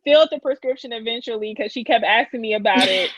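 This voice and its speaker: A woman's voice: fairly high pitch, fast, slightly rough, no echo, dull audio. A woman with a Detroit accent, co-hosting a podcast, talking candidly and reflectively in casual conversation. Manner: candid, reflective, many fillers. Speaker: podcast co-host.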